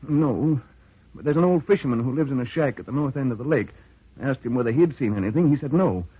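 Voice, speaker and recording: Anxious, doubtful husband, old-time radio play; husky, hesitant, earnest, on a narrow phone-like recording. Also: male voice, medium pitch, expressive, fast talker, no echo. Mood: troubled, hesitant. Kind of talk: vintage radio drama acting.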